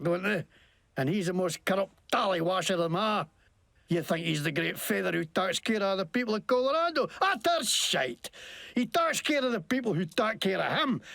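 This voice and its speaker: A gravelly fake-Scottish wasteland crook. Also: male voice, high voice, animated, normal speed, fairly bright tone, no echo, heavily acted. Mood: indignant. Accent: put-on, badly faked Scottish. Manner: profane, jumpy, indignant ranting. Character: crude scheming wasteland grease-monkey man faking Scottish. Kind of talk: video game character acting.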